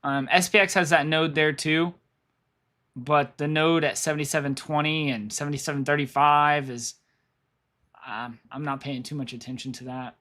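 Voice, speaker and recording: A man's voice: a little high, fairly even intonation, normal speed, bright, forceful tone. A man who is losing his voice, giving rambling live commentary on stock charts, thinking aloud and repeating himself. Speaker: stock market streamer.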